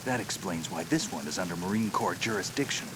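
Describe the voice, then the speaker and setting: A man voices a gruff middle-aged stealth soldier in a video game, low-pitched and clipped. He keeps every line short, dry and understated over a radio call. Heavy rain noise sits behind him. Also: brisk, close to an everyday voice.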